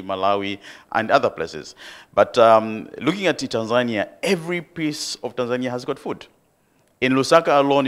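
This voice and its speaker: A man from Zambia asking an earnest question from the floor at a conference, with many ums. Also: fairly low pitch. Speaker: audience member asking a question. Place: conference hall.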